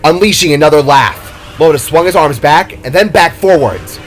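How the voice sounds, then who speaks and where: A man's voice: fairly high pitch, animated, normal speed. A man reading scripted narration for an action story in a dramatic storyteller's style. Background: music.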